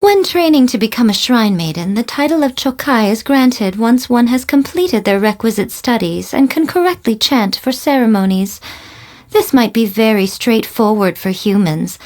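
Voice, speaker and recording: An actress voicing a sly, haughty fox-spirit shrine priestess for a video game, with a playful, smug and refined theatrical delivery. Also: female voice, fairly high pitch, darkish tone. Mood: instructive, sly. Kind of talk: scripted video game character voice lines.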